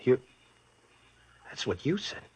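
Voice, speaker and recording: An actor playing a glib young racetrack hustler who talks in slang, in 1940s radio style. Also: male voice, close to an everyday voice. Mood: guarded. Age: young adult. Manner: glib, fast-talking, slangy. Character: smooth-talking young male racetrack hustler.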